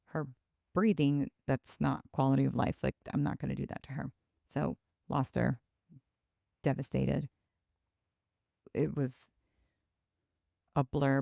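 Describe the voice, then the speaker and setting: Woman from ecuador telling her story, low gravelly voice, slow halting delivery, dry close sound. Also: dark tone, no room sound. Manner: reflective and halting, with pauses and restarts. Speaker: podcast host. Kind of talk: personal storytelling.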